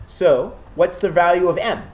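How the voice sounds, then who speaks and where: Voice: mid-range voice, normal speed, a little mellow, low-quality recording. A math teacher who has a cold gives a classroom lecture, often checking in with students and encouraging them.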